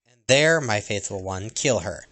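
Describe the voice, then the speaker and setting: A man's voice: fairly low pitch, lively intonation, unhurried, a bit bright. A man voicing a jealous, cruel storybook queen, imperious and commanding, with hissed menace. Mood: commanding, threatening. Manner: imperious, hissing threats. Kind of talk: storybook character voice.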